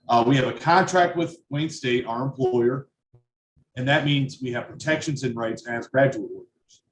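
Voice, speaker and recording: A young man, a PhD student and union grievance chair, giving a firm prepared speech with some uh fillers. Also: medium pitch. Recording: roomy with faint noise.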